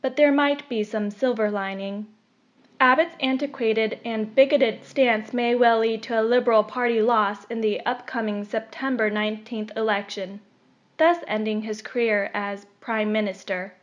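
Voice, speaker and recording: A woman reading a scripted opinion article aloud in a measured, formal delivery. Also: a little high, steady tone. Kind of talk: scripted narration. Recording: dull, lo-fi recording.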